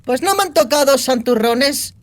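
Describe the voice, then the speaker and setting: A woman's voice: high-pitched, lively intonation, normal speed. A brash young outlaw girl in a dramatized fantasy audiobook. She talks in slangy, crude bursts with a cynical, sardonic edge. She sounds streetwise and foul-mouthed. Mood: mocking. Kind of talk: acted audiobook dialogue.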